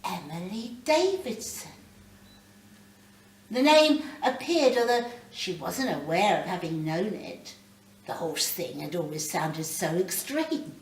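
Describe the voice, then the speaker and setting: A woman reading literary fiction aloud live, with measured, dramatic phrasing and pauses. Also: fairly low pitch, animated. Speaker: reader of a short story. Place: library reading event, indoors. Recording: roomy, distant mic, faint background noise.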